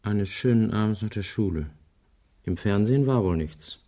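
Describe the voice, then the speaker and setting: An actor playing a wry, analytical husband in a radio crime drama, reasoning aloud in a deliberate, musing way. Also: male voice, lowish voice, warm tone, natural voice. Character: wry analytical husband puzzling out a child's grisly drawings.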